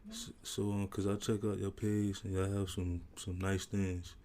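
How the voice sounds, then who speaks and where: A man hosts a laid-back podcast interview from a university library. His voice sits low and very dark, with little rise and fall. He asks loose questions peppered with ums and likes. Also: normal speed, a little hiss or hum. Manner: relaxed, filler-heavy questions. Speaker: podcast host. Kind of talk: casual interview.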